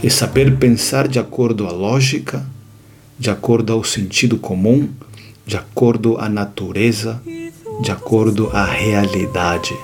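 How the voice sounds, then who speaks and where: Slow, deliberate man lecturing. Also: on the low side, creaky, faint music behind. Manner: deliberate, unhurried, with emphatic repetitions. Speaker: host of an educational series on strategy. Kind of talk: measured explanatory lecture.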